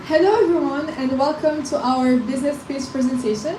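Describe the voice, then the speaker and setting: A young woman from Morocco, late teens, with a high, mellow voice, presents a business pitch and counts off each reason in turn. The sound is roomy and echoing, and she is heard from some way off the mic. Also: brisk, slight background noise. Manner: counts points off one by one, persuasive. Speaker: student presenter. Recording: echoey room from a distance.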